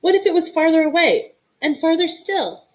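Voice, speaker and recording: A woman giving an expressive read-aloud narration of a children's story. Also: very high voice, moderate pace. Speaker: storybook reader.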